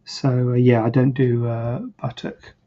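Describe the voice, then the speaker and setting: A plastic surgeon hosting a live online Q&A, answering questions in a rambling, hesitant way, and a bit croaky. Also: male voice, mid-range voice, flat delivery, unhurried. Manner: rambling, hesitant, many fillers and restarts. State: a bit croaky, clearing throat. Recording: dull, lo-fi livestream audio.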